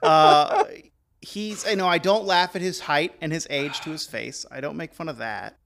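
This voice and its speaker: A man co-hosting a podcast, joking along in casual banter with a deadpan manner. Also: on the high side, moderate pace, vocal fry, slight background noise. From American South. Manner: deadpan, plays along with the bit. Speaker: podcast co-host.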